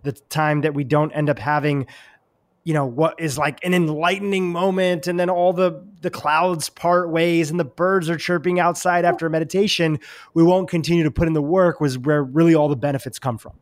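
A man's voice: fairly high pitch, steady tone, normal speed. A man hosting a podcast, asking thoughtful, probing questions in a casual conversation.

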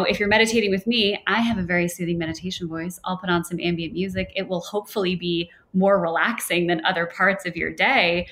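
A young adult woman teaching meditation in a relaxed, chatty way with playful asides. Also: mid-range voice. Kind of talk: casual podcast conversation.

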